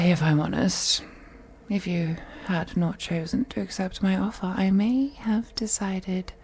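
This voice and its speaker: A woman's voice: on the low side, mellow, slight background noise. A woman playing a wealthy, possessive female vampire in an intimate audio roleplay, speaking in a whispery, teasing, condescending way. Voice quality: breathy, whispery. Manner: slow, purring, condescending, deliberate.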